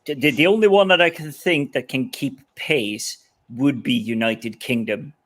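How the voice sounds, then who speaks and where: A man's voice: mid-range voice, moderate pace, fairly bright tone. A man whose first language is not English, chatting on a podcast in an opinionated, earnest way. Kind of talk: casual group chat.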